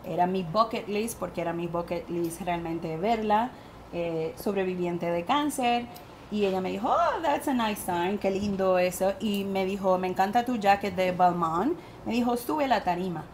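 Puerto rican lady telling a story on a talk show, lively, quotes herself a lot, bit of hiss behind. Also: medium pitch, normal speed. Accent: Puerto Rican Spanish. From Puerto Rico. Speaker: guest medium. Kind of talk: animated interview storytelling.

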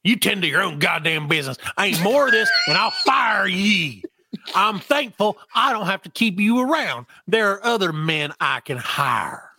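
A man reading the part of a stubborn old grandfather in a play, gruff and snapping. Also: a little high, big pitch swings, moderate pace, few pauses, pushed and bright, heavily acted. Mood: angry.

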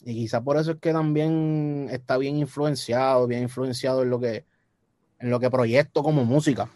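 A young man with a Puerto Rican Spanish accent, talking casually as a guest musician, relaxed and repeating words as he thinks. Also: medium pitch, normal speed, dry recording. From Puerto Rico. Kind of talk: casual interview chat.

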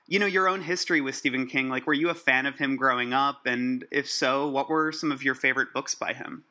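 A man with a bright, forward voice at an average pitch conducts a casual interview. He sounds eager and appreciative, speaking as a friendly podcast co-host. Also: steady tone, a little fast.

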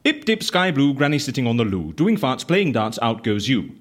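Eccentric male schemer, comic audio drama. Extremely animated intonation, rough-edged voice, playful, pedantic and theatrical. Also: mid-range voice, moderate pace. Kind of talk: comic audio fiction acting.

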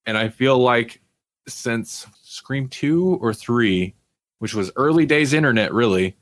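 A man's voice: medium pitch, animated, slow pace, dry recording. A man hosting a casual pop culture talk show in a wry, conversational way. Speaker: podcast host.

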